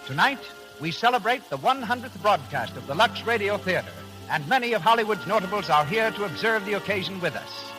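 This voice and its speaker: A man's voice: sits high, lively intonation, fairly quick, over music, poor audio. A man announcing in a polished, declamatory 1930s radio style on an old broadcast recording.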